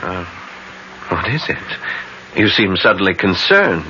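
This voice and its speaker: Polite worried fiance, low voice, old radio play with slightly noisy dull sound. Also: male voice, sing-song, a little slow, sharp tone, exaggerated voice. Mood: concerned. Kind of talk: vintage radio drama acting. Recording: dull old radio drama, faint noise.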